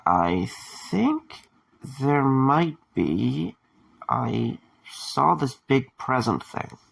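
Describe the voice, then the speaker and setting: An actor playing a weary, sarcastic man under attack in an audio drama, talking in a dry, halting way. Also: medium pitch, sing-song, gravelly, a bit bright, slightly rough, full character voice. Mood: hesitant.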